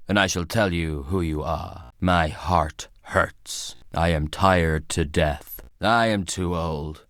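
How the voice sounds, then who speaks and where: A solemn old judge for a video game, speaks in a low-pitched voice with very little room sound. He is weary and cryptic, and each line comes out as a grave, formal pronouncement. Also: dry recording, studio quality. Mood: grave, pronouncing, then weary, philosophical. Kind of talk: dramatic video game voice acting.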